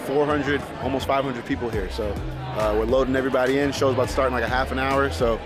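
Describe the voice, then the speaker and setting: A young man talking casually to camera in a vlog, relaxed and reflective. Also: medium pitch, fast, music in the background, crowd noise. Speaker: vlogger.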